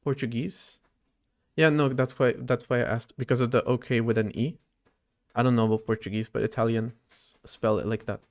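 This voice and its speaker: A man chats casually on a live coding stream, his voice gravelly with creak at the ends of phrases. He rambles and thinks aloud, drifting between his code and the viewers' messages. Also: mid-range voice, fairly even intonation, normal speed. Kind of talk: casual livestream chat while coding.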